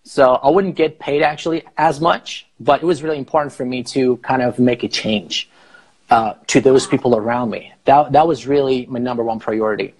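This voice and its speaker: Husky Farsi-native man teaching on a video call. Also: mid-range voice, steady tone, darkish tone, rough recording. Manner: explains slowly, rephrasing with many fillers. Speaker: English teacher. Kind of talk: casual live video chat. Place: small room indoors. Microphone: earphone headset mic on a video call.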